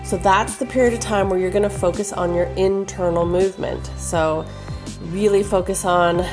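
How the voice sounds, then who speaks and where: Hoarse woman chatting about intuition. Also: medium pitch, steady tone, normal speed, over music. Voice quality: raspy. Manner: chatty, loose, with fillers and restarts. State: hoarse, froggy from allergies. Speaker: intuition teacher. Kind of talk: casual talking-head advice video.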